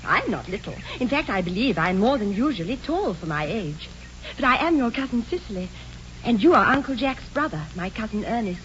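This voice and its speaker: An actress playing a demure, self-possessed young upper-class English girl in a 1940s radio comedy, crisply polite and candid. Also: fairly high pitch, sing-song, a little fast, fairly bright tone. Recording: dull, noisy 1940s radio broadcast.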